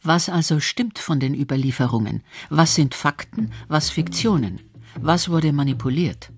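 A man's voice: a little high, animated, moderate pace, over music. A man narrating a documentary from a script as its narrator.

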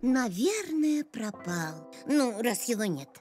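High, perky cartoon girl. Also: slow pace, faint music behind. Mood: exasperated, sheepish. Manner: expressive, exclamatory, encouraging. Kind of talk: children's cartoon voice acting.

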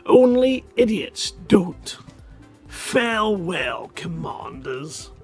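A man in his thirties hosting a live show on a headset mic, reading short stories with a drawn-out dramatic delivery between bits of banter. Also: sits high, big pitch swings, a little mellow, breathy edge. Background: music in the background.

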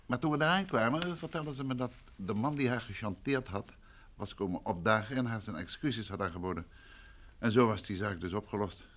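A man's voice: on the low side, brisk, fairly bright tone. An actor playing a courteous, self-assured male detective in an old Dutch radio play. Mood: matter-of-fact. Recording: vintage radio recording with noise.

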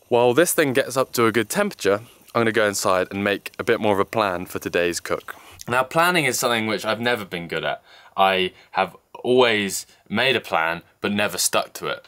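Young male vlogger, lowish voice, casual and self-deprecating, handheld-camera sound from a snowy cabin. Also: a bit bright, clear, resonant tone. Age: young adult. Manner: chatty, self-deprecating, explains as he goes. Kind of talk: casual vlog narration. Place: snowy mountain cabin, indoors and out. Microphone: handheld camera at arm's length.

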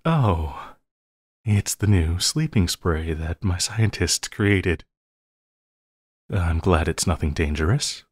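Man acting a low, very slow possessive villain. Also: expressive, slightly rough, no room sound, clean studio sound, heavily acted. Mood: coaxing. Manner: slow, halting, pleading and coaxing. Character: possessive male supervillain, obsessive lover, coaxing and menacing. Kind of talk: intimate audio roleplay, addressing the listener.

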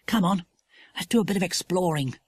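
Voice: medium pitch, a little fast, husky, no echo, voice pushed up, close to an everyday voice. A storyteller voicing Jack, a bold, enthusiastic boy who leads the others exploring. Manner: enthusiastic, commanding.

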